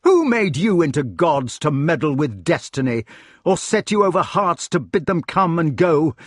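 A man's voice: medium pitch, very animated, a little slow, husky, no room sound, clean studio sound. A man voicing a wretched, betrayed old king in a storybook, impassioned and declamatory, raging and pleading. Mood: raging, anguished. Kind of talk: dramatic audiobook character reading.

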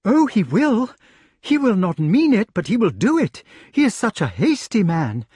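A flustered gentlewoman in a read-aloud tale chatters in a high, fluttering voice that swoops anxiously, as a man puts her on. She fusses over every line. The sound is dry and close. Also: big pitch swings, normal speed, breathy edge, dry recording, full character voice. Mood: fretful.

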